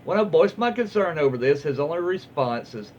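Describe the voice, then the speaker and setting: Animated Southern US man, higher-pitched voice. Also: a little fast. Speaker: host reading online stories aloud. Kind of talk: casual read-aloud storytelling. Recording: muffled, lo-fi with faint background noise.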